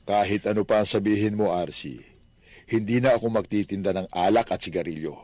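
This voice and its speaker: A man's voice: on the low side, brisk, a little mellow, dry recording, lightly acted. Man acting a devout elderly Filipino father, firm. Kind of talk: Filipino religious radio drama acting.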